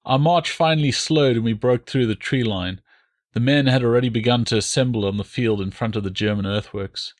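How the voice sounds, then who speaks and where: A man's voice: medium pitch, fairly quick. A man narrating a historical novel as a scripted audiobook.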